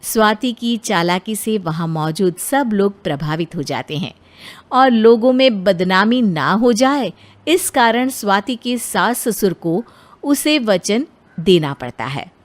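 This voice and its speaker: A woman's voice: medium pitch, lively intonation, takes it slowly. A woman giving scripted narration of a family story for a cartoon.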